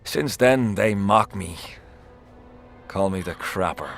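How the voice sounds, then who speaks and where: Haunted, gravelly, humiliated soldier. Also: male voice, sits low, animated, takes it slowly, a bit bright, faint music behind, full character voice. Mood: bitter, ashamed. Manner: dramatic, blunt storytelling. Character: shaken, embittered low-ranking soldier, humiliated and haunted. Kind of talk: video game voice acting.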